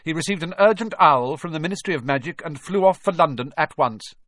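A man's voice: high voice, very animated, moderate pace, fairly bright tone, no room sound, clean studio sound, pitched above the performer's own voice, heavily acted. A man voicing a stern, haughty older woman professor with a cold, curt manner in an audiobook. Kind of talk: audiobook character voice.

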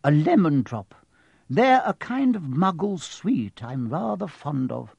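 A man voicing a very old, courteous wizard for an audiobook, gentle, genial and measured. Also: on the high side, very animated, vocal fry, husky, no room sound, pitched above the performer's own voice, heavily acted. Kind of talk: audiobook character voice.

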